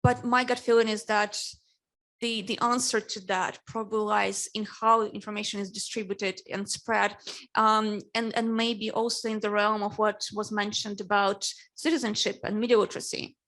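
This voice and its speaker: A woman's voice: mid-range voice, level tone, moderate pace, fairly bright tone. A woman from Ukraine whose first language is Russian, speaking as a panelist in a panel discussion, hesitant, with many fillers and restarts.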